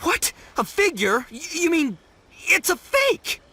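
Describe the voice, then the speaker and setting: Loud, swooping young gang boss. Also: male voice, high-pitched, wildly swooping pitch, a little slow, a little hiss or hum, exaggerated voice. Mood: disbelieving. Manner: bombastic, slangy, exclamatory. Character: brash, dim but big-hearted young gang boss. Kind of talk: animated video game voice acting.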